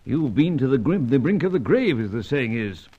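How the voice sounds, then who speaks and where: An actor playing Sir Giles, a fussy, blustering upper-class chief, in an old radio drama. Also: male voice, medium pitch, sing-song, moderate pace, a little mellow, slightly rough. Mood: soothing. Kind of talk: 1950s radio drama acting. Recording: dull lo-fi old radio recording.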